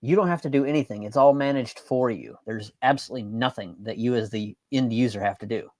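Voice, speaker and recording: A man with a mid-pitched voice speaks in casual interview style. He is matter-of-fact and rambles a little, circling back over his own phrases, and the sound is dry with very little room in it. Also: quick pace, darkish tone, no echo. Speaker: guest on a show.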